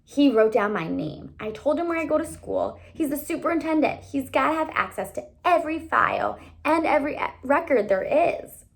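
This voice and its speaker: A woman's voice: sits high, lively intonation, normal speed, creaky, few pauses, voice pushed up. A woman voicing an anxious, sardonic teenage boy in a storybook, conspiratorial and dry. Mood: worried.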